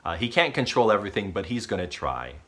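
A man in his thirties preaching a sermon from a small living room at home, talking straight to a close camera and telling the story with lively gestures. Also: on the low side, quick pace. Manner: animated storytelling with expressive gestures. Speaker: pastor.

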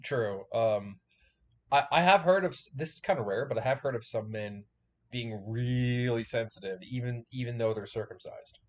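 A man's voice: medium pitch, normal speed, highs cut off. A man giving casual, profane reaction commentary in a blunt, joking, rambling manner.

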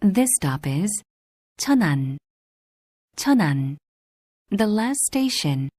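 Slow, mellow female train announcer. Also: medium pitch, big pitch swings, no room sound, clean studio sound. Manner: measured, formal, carefully enunciated. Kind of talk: scripted transit announcement.

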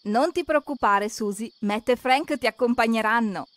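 A woman's voice: fairly high pitch, normal speed, a little hiss or hum. A woman narrating a children's cartoon, expressively asking questions and talking straight to the characters.

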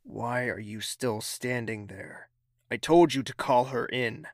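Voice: mid-range voice, slow pace, no echo, studio quality. An actor playing a haughty, impatient young royal prince in an audio drama, clipped and condescending. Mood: imperious, irritated.

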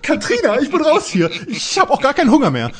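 A man playing an anxious teenage boy detective in a parody audio drama, with a strained husky voice. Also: extremely high pitch, wildly swooping pitch, fast talker, heavy background noise, heavily acted. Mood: disgusted.

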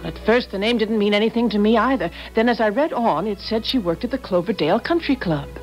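An actress playing a genteel, composed, inquisitive well-to-do wife in a 1970s radio drama. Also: female voice, medium pitch, fast, darkish tone, lo-fi sound, soft background music, lightly acted. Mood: poised, probing.

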